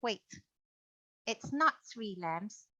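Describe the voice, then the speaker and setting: A woman voicing a cheeky young female lamb puppet with big glasses, talking in a playful, quick, squeaky way over a video call. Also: lively intonation, sharp tone, no room sound, full character voice. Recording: dull lo-fi video call audio.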